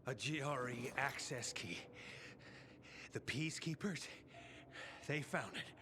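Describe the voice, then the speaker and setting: An actor playing a wounded ally who gives urgent, strained commands. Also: male voice, mid-range voice, takes it slowly, noisy background, lightly acted. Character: wounded urgent male ally, middle-aged. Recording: game cutscene with music behind.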